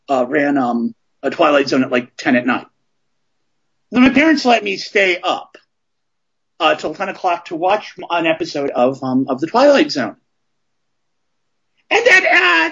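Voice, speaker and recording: A man in his forties with a husky, somewhat higher voice, rambling loosely through a casual podcast discussion. He doubles back and fills the pauses with ums. The sound is muffled and lo-fi. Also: fairly bright tone. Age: 40s. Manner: rambling, many fillers and restarts.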